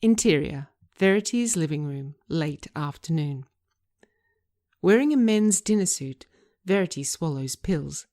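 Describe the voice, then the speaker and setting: A woman narrating a screenplay aloud, reading scene headings and stage directions in a measured, deliberate way. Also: low-pitched, lively intonation, warm tone. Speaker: writer and narrator.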